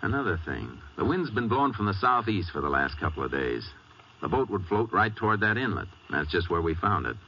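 An actor playing a calm, shrewd Texas Ranger with an American accent and laconic manner in a 1950s radio western. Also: male voice, low voice, a little fast, husky, exaggerated voice. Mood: calm, reasoning. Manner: laconic, understated. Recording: noisy, dull old radio recording.

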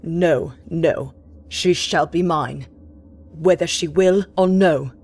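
A woman's voice: sits low, takes it slowly, darkish tone, voice pushed down, full character voice. A woman reading a storybook voicing a menacing, scornful male sea captain who talks in gasping, threatening bursts.

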